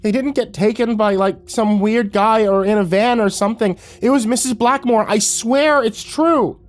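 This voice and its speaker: A man's voice: high-pitched, moderate pace, pitched above the performer's own voice, close to an everyday voice. A man voicing a scared eleven-year-old boy who pleads shrilly, his voice cracking as he sobs. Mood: pleading.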